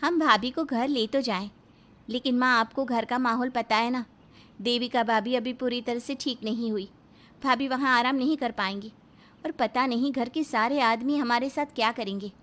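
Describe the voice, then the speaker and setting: A woman's voice: on the high side, moderate pace, fairly bright tone, pitched above the performer's own voice, natural voice. A woman voicing assertive, indignant women of an Indian family in a dramatic moral story. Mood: worried, pleading.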